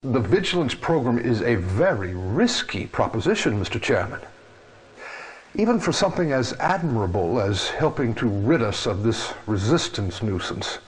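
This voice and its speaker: Raspy, lively-pitched senator. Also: male voice, moderate pace, low-quality recording. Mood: uneasy. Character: uneasy, pompous male senator. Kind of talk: video game cutscene acting.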